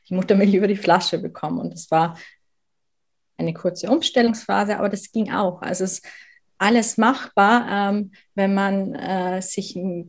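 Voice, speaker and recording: A woman's voice: lowish voice, vocal fry. A woman from southern Bavaria with a light Bavarian accent, a guest in an interview, speaking earnestly with frequent hesitations. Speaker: guest, working mother. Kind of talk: conversational interview.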